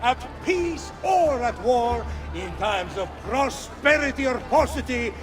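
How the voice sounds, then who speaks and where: A pompous Venetian ruler in a video game cutscene, declaiming slowly. His high voice rises and falls with grandeur, and music plays behind him. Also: lively intonation, a crowd in the background. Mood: grandiose. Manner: pompous, declamatory speech-making. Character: pompous Venetian Doge, older ruler man.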